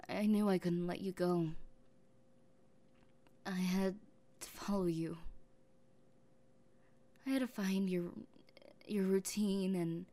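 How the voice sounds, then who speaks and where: A young woman playing an obsessive, possessive young woman who has taken the one she loves, speaking softly and haltingly and often dropping into whispers. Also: fairly low pitch. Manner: soft-spoken, halting, drifting into whispers. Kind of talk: audio roleplay.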